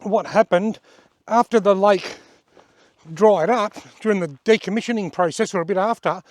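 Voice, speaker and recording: An Australian man with a slightly hoarse voice, chatting plainly as he gives a casual walking tour outdoors at a wetland and points things out along the way. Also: high voice, moderate pace, darkish tone, dry recording. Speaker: outdoor vlogger giving a tour. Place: outdoors at wetlands by a creek and car park.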